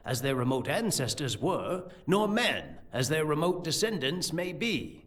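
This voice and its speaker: A man playing a learned, scheming sorcerer-priest in a fantasy audio drama, speaking in a deliberate, formal, explanatory way. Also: a little high.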